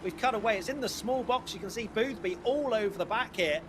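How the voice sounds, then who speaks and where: A man commentating a live sim race in a brisk, upbeat way. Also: sits high, noisy background. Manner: brisk, upbeat, laughs easily.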